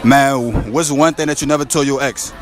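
A man's voice: medium pitch, moderate pace. An American man doing casual street interviews, asking questions outdoors in a busy public place. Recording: noisy street with crowd chatter.

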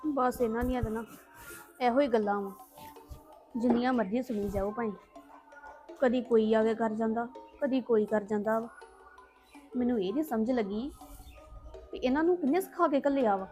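A woman's voice: high voice, a little fast, soft background music, close to an everyday voice. An actress playing a defiant young woman who argues back at her mother and aunt in a family drama. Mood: muttering. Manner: defiant, argumentative.

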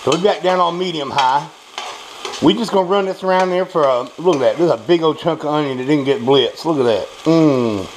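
A man's voice: on the high side, fairly quick, rough recording. A man with an American accent gives a casual, off-the-cuff home cooking demonstration, folksy and salty-tongued, often repeating himself. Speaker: home cook presenting a recipe. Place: small home kitchen at the stove. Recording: clear kitchen noise, pan and utensil clatter. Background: frying, stirring, clinking dishes.

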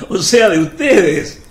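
An actor playing a hot-headed, mocking disciple with a sneering manner in a radio drama. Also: male voice, a little high, sing-song, normal speed, a little hiss or hum. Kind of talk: Spanish radio drama acting. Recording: echoey room.

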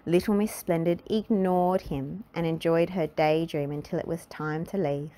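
A woman reading a children's storybook aloud in an expressive, deliberate way. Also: low-pitched. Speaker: storybook reader. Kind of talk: scripted children's storybook narration.

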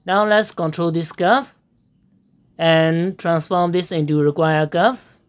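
Lowish-voiced woman, walking through software steps slowly with lots of uhs. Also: steady tone. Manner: step-by-step instructions with frequent fillers and pauses. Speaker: software tutorial narrator. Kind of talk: screen-recorded software tutorial voice-over.

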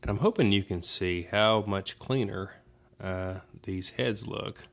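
A man's voice: lowish voice, measured pace, vocal fry. A man giving a casual how-to narration in an informal, folksy way, thinking aloud as he goes, recorded in a room at home. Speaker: DIY demonstrator.

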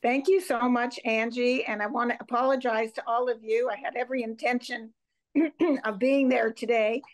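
A woman's voice: on the high side, steady tone, normal speed, poor audio. An older woman from Montreal speaking as a guest presenter and organization co-founder over a video call. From Montreal, Canada. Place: home room indoors.

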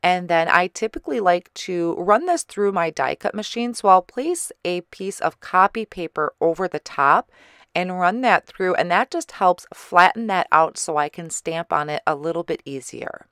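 Slightly hoarse woman narrating a craft video. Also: lowish voice, moderate pace, dry recording, clean studio sound. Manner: relaxed, chatty explaining with small fillers. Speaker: card-making channel host. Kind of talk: craft tutorial voice-over. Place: recorded separately as voice-over.

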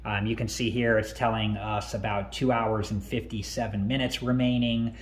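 Man calmly walking through a tutorial. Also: on the low side, moderate pace, a little hiss or hum. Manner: relaxed, many ums and uhs. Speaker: tech tutorial host. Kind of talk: step-by-step screen walkthrough.

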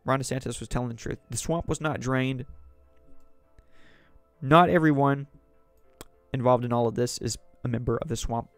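A man with a sore, hoarse throat hosting a solo podcast, reading news articles aloud and rambling on about politics. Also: mid-range voice, fairly even intonation, normal speed, long pauses, no room sound, soft background music. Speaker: podcast host.

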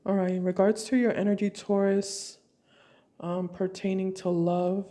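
A woman reading tarot cards for her viewers while fighting a cold, speaking slowly and deliberately at her table at home. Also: medium pitch, level tone, warm tone. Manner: slow, deliberate, intuitive. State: has a cold. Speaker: tarot reader.

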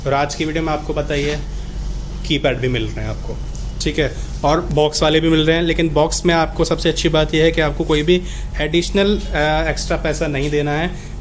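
Male video host, slightly high voice, casual sales chat, light room noise. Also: fairly even intonation, a little fast. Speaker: video host interviewing a phone dealer. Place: small office shop, behind the camera.

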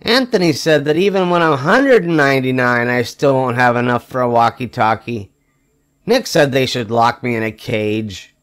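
An older man reading a children's picture book aloud expressively, with emphatic phrasing and drawn-out wry punchlines. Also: medium pitch, fairly bright tone, clear, resonant tone. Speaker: storybook reader.

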